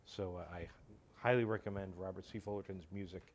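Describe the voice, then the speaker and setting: Talk show male, lowish pitch, enthusiastic, hesitant phrasing. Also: fairly even intonation. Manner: halting, enthusiastic. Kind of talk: casual talk show conversation.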